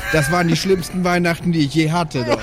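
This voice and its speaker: A man's voice: a little high, fast, very noisy, close to an everyday voice. A man playing a crude, joking old male ghost brother in a live improvised audio play, talking folksy and tagging "du" onto his lines. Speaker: player.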